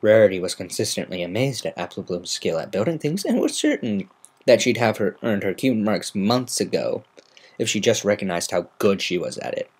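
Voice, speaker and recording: A woman's voice: a bass voice, sing-song, normal speed, a little mellow, slightly rough. A woman reading a story aloud as an amateur, in semi-dramatic scripted narration. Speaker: amateur fan fiction reader.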